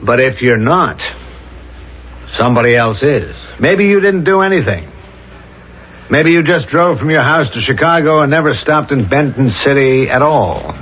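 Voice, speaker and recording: An actor playing a dogged, methodical police inspector in a 1970s radio drama, questioning and reasoning aloud. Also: male voice, mid-range voice, lively intonation, slight background noise, lightly acted. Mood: probing. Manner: probing, deliberate, methodical questioning. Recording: dull lo-fi old radio recording.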